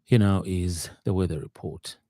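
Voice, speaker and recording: A man presenting a radio news bulletin, reading in a halting, hesitant way. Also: low voice, heavy background noise.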